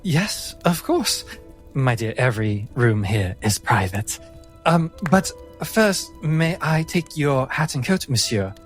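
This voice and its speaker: A man's voice: medium pitch, big pitch swings, slow pace, soft background music. A man voicing an elegant bordello madame, effusive and flirtatious, with a gracious, theatrical manner.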